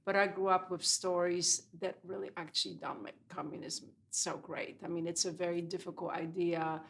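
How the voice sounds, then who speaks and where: A woman's voice: lowish voice, level tone, moderate pace, creaky. A woman in her sixties from Poland, Polish her first language, a guest in an interview telling her story reflectively with many fillers. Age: 60s. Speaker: guest dermatologist. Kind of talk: casual interview chat.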